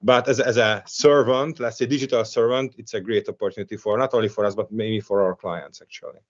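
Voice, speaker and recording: A man's voice: on the low side, steady tone, a little fast. Hesitant Hungarian-accented man, lo-fi. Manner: hesitant, many restarts and fillers. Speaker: panelist. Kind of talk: round table discussion.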